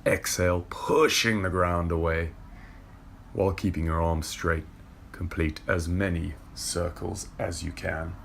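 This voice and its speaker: Casting: young male movement coach, deep gravelly voice, steady breath cues, faint hiss behind. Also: unhurried. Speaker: yoga and movement instructor. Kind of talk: guided workout instruction. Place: indoor car park with brick wall. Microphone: far camera.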